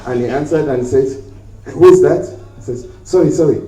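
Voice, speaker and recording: A man's voice: mid-range voice, moderate pace, warm tone, recorded from a distance. Man speaking in an echoey hall. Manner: jokey storytelling, acting out quoted voices. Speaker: conference speaker. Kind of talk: conference talk with humorous anecdotes. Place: hotel conference hall. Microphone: handheld mic, later set down.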